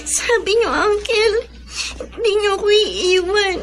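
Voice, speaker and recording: Sad little niece in a radio drama, super high voice, pleading. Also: female voice, animated, measured pace, over loud music. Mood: tearful pleading. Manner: plaintive, pleading. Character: tearful young orphaned niece pleading with uncle. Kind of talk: Filipino radio drama acting.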